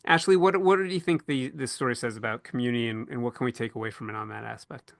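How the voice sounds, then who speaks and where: A man's voice: medium pitch, quick pace, vocal fry, no echo, studio quality. A man moderating a panel discussion as podcast host, speaking earnestly and often rephrasing as he goes.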